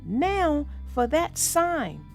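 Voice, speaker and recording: A high, animated little girl bear cub, acted by a woman reading aloud. She is proud and easily indignant, exclaiming her words emphatically in true storybook fashion. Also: drawn-out words, creaky, soft background music, full character voice. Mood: eager. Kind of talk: children's storybook read-aloud.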